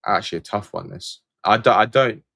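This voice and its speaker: A man from England chats through a livestream Q&A in a deep, low voice, reading viewer comments aloud and answering in a loose, rambling way. He hesitates often, filling gaps with ums and restarting his sentences. The sound is dry and close, with no room echo. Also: brisk, vocal fry, a bit bright. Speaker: channel host answering viewer questions.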